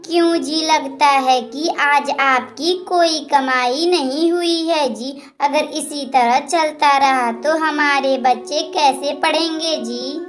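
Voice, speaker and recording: A woman voicing a gentle, practical mother bird in a cartoon, with a plain, worried manner. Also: sits high, takes it slowly, hardly any pauses, faint music behind. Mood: worried, resigned. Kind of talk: Hindi cartoon moral story acting.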